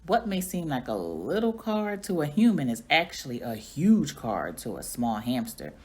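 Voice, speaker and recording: A woman reading a children's story aloud in an expressive storytelling manner. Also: sits low, normal speed. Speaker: reading coach or teacher reading aloud.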